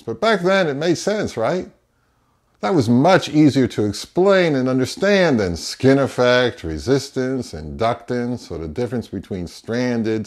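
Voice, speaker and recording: A man's voice: fairly low pitch, a little mellow. A man in his fifties giving an opinionated review talk to camera from a home office, speaking in an emphatic, wry way. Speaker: audio review channel host. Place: small home office, indoors.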